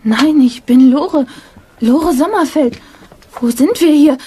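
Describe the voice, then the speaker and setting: A woman acting a delicate, polite, trusting young actress in a radio drama, with a breathy, husky voice. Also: high voice, slow pace, dark tone, a little hiss or hum. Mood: polite, calm.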